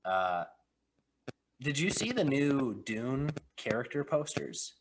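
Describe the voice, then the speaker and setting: A man's voice: medium pitch, sing-song, slow, vocal fry, slight background noise, low-quality recording. A man hosting a film podcast in casual chat, full of joking, rambling banter. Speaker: podcast host.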